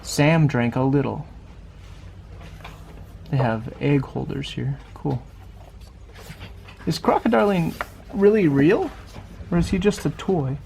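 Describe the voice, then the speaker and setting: Children's picture book narrator: man, slightly high voice, read-aloud style with chatty asides. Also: slow pace, creaky, long pauses, darkish tone, a little hiss or hum. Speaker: storybook reader.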